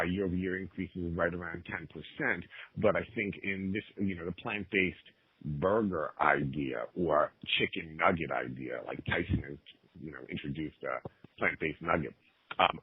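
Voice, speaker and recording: Male industry panelist, deep, heavily husky, unscripted commentary down a narrowband phone line. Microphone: telephone.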